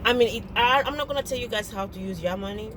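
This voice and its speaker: French-accented woman pleading into the camera outside, cars going by loud behind her. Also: a little high, quick pace, noisy background. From French-speaking Africa. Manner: earnest and pleading, repeats herself and restarts phrases. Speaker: video blogger. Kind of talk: direct-to-camera appeal.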